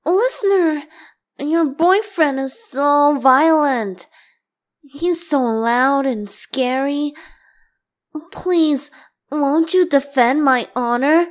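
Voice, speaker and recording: A woman's voice: sits high, very slow, long pauses. A woman voicing a playful, clingy boyish god and bard in a boyfriend ASMR roleplay, teasing and theatrical. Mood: mock pleading.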